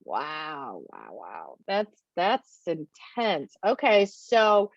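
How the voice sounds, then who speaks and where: A woman hosting a podcast who chats casually and reacts with loud exclamations. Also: deep voice, wildly swooping pitch, extremely slow, gravelly, dry recording, dull audio. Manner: chatty and exclamatory. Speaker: podcast host. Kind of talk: casual interview.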